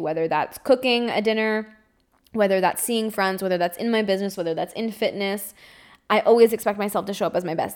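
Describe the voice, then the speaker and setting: Chatty woman in her twenties. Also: medium pitch. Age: 20s. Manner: chatty and candid, frequent fillers and laughs. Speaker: podcast host. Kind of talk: casual storytime monologue.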